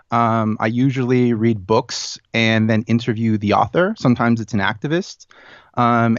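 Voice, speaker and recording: A man in his thirties talks as a podcast guest. His speech is thoughtful and halting, with frequent ums and restarts. The recording sounds muffled and dry. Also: medium pitch, no room sound. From United States and Chile. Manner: thoughtful, many fillers and restarts. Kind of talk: casual conversation.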